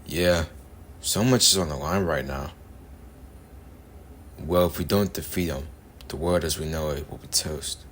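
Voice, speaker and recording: A man's voice: rumbling low, measured pace, pauses often, a bit bright, a little hiss or hum. A man voicing a blunt, grudgingly helpful anime fighter in a casual, informal delivery. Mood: serious. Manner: casual scolding, repeated takes.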